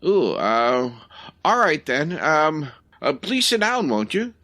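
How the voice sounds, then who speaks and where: A man's voice: on the high side, sing-song, slow, noisy background, exaggerated voice. A man playing a flustered, secretive department store manager in a comedy audio drama, hesitant, fussy and proper. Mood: nervous, hushed worry.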